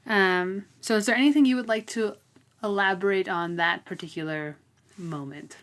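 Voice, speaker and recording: A woman's voice: mid-range voice, normal speed. A woman co-hosting a podcast, talking through a book in a casual, informal, conversational way. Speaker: podcast co-host.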